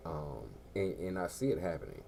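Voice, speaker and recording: A very low, gravelly man's voice hosts a casual radio show. He talks loose and slangy, leaning on drawn-out fillers. Faint hiss sits behind him. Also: animated, mellow. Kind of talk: casual laid-back show chat.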